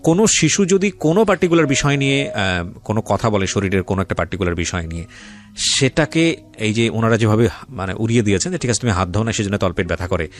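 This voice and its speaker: A man's voice: on the low side, animated, fast. A man with a Bangladeshi accent hosting a radio interview, speaking emphatically, repeating phrases and mixing in English words. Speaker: radio host. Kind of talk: radio interview and commentary on life stories. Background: faint music.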